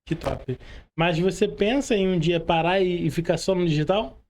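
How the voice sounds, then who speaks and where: A man's voice: sits high, normal speed, a little mellow. A young Brazilian man hosting a podcast casually, informal and slangy, often checking that his guest agrees. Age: young adult. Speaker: podcast host.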